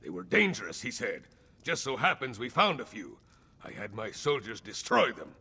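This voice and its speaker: An actor playing a proud, weary, aging northern jarl in a video game, blunt and sardonic, with a gritty voice. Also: male voice, on the low side, sing-song, normal speed, pushed and bright, slightly rough, long reverb tail, exaggerated voice. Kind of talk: video game character acting.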